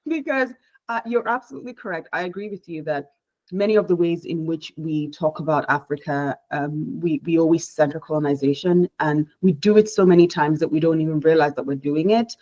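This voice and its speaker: A woman from Nigeria whose first language is Igbo, giving a measured, reflective talk on a panel, often restarting her sentences. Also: low voice, mellow, muffled recording. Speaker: panel speaker, researcher.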